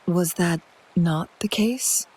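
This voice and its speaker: Poised, low-voiced, breathy noblewoman. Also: darkish tone. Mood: composed, grave. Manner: measured, formal, poised. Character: composed, knowledgeable, elegant noble lady advisor. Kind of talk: video game voice acting.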